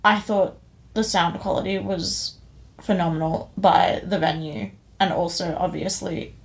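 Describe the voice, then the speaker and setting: A woman's voice: fairly low pitch, steady tone, unhurried, gravelly. A young woman from Indonesia co-hosting a podcast, chatting enthusiastically and a bit rambly about a show she saw. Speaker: podcast co-host. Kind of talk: casual review chat.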